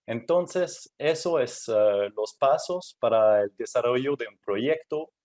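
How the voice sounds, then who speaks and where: A man presenting at a technical workshop in Spanish, which is his third language, speaking haltingly with many fillers and restarts. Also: mid-range voice, animated, a little mellow. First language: not Spanish; Spanish is his third language.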